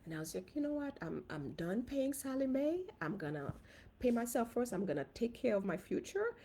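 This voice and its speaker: A woman from Haiti with a Haitian accent, a dentist giving an animated, casual livestream talk to her viewers on a phone. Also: a little high, moderate pace, a little hiss or hum. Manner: animated, talks straight to viewers. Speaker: dentist and finance coach.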